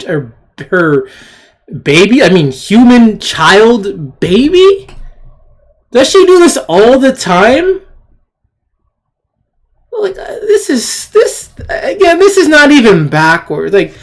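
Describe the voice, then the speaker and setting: Slow, exasperated ranter with swooping pitch. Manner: indignant, emphatic, repeating phrases, rhetorical questions. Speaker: video commentator. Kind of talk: opinionated rant commentary on shared screen clips.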